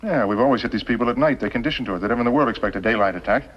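A middle-aged man playing a scheming, bitter outlaw boss in a 1950s TV western, speaking with sardonic, deliberate intensity. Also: mid-range voice, natural voice. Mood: calculating. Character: scheming, bitter outlaw boss posing as mild telegraph operator. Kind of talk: 1950s television western acting. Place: small wooden cabin set, indoors. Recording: muffled, noisy old 1950s TV soundtrack.

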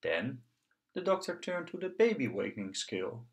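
A man narrates a children's story as a read-aloud host. His delivery is expressive and singsong, with pitch that moves around a lot. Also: moderate pace.

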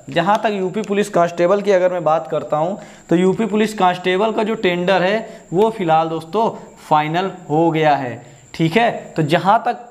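A young man explaining exam updates like a coaching teacher, repeating points and adding check-in questions. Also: a little high. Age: young adult. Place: small indoor room, standing at a whiteboard. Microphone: lapel mic.

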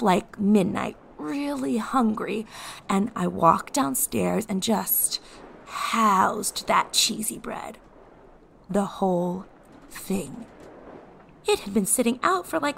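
A woman's voice: mid-range voice, expressive, slow, gravelly, a bit bright, a little hiss or hum, heavily acted, rough recording. A woman playing a remorseful American teenage girl in a fantasy audio drama, talking casually and hesitantly. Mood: embarrassed, confessing. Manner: casual, hesitant, self-deprecating teen talk. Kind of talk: naturalistic audio drama acting.

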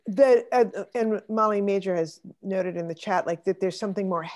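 A woman's voice: medium pitch, fairly even intonation, moderate pace. A woman leading an online art discussion, calling on participants and reflecting on their comments over a video call. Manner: thoughtful, calls on participants by name. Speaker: discussion leader.

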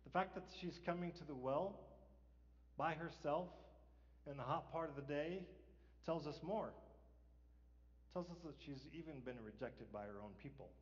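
A man's voice: a little high, moderate pace, long pauses. A middle-aged man preaching a sermon as pastor, conversational, often asking "right?" and chuckling. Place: large church sanctuary, stage. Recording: dull, hall reverb.

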